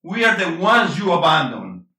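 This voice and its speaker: A man plays a bitter middle-aged husband and father in an intense play reading. The voice is fairly high and sharp, arguing emphatically with Spanish mixed into the English. It sits back from the mic in an echoey room. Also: very animated, normal speed, recorded from a distance, heavily acted. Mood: angry, bitter. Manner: confrontational, emphatic, swearing, switches between English and Spanish.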